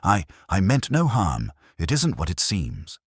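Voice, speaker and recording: A man voicing a frightened, haggard clerk in an audio mystery, pleading in a hoarse, halting, stammering way. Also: deep voice, wildly swooping pitch, dry recording, clean studio sound, pitched above the performer's own voice, exaggerated voice. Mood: stammering fear. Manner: stammering, trembling, desperate.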